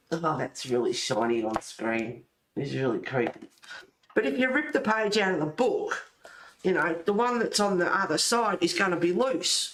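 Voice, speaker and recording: A man's voice: on the high side, a bit bright, reverberant. A man chatting casually and informally while colouring, rambling and thinking aloud as he goes. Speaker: host of a colouring channel.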